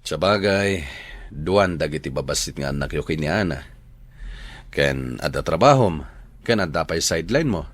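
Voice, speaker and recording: An actor playing a calm older friend and farm owner in a radio drama, with an Ilocano accent. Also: male voice, very deep voice, normal speed, pauses often, no room sound. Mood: calm, teasing.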